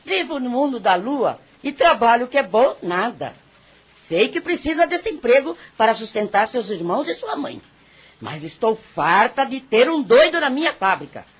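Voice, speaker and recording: A woman plays a cantankerous old factory owner in an audio drama. Her high, rough-edged voice swings widely as she scolds with bossy contempt. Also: very animated, normal speed. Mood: scolding, mocking. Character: rabugenta old factory boss woman, scornful, bigoted, harsh.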